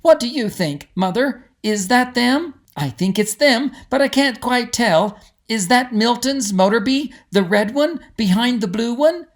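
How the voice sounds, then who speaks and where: A man voicing an excitable, giddy young female bird mage who exclaims eagerly in a storybook reading. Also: extremely high pitch, a little slow, pitched above the performer's own voice. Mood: eager, unsure. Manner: breathless exclaiming.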